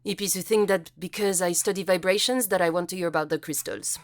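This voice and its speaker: A woman's voice: on the low side, fast talker, no echo, lightly acted. An actress playing a composed, matter-of-fact woman scientist. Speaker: acoustic physicist. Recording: dry close studio sound.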